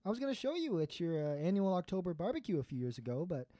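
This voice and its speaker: A performer playing a gentle, sentimental, pleading old friend in an audio drama, earnest and hesitant. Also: mid-range voice, very animated, fast, dry recording, close to an everyday voice. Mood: nostalgic. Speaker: voice actor in student audio drama. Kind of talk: naturalistic audio drama dialogue.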